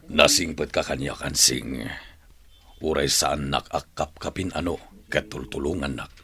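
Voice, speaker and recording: A man playing a grateful, gentle jailed man in an Ilocano radio drama, speaking in a measured, earnest way. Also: very deep voice, steady tone, a little hiss or hum, exaggerated voice.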